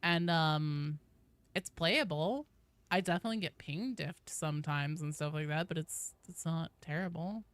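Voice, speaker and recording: A woman's voice: sits low, unhurried, no echo. A woman hosting a game stream, chatting casually and reading out viewer comments in informal, slangy language.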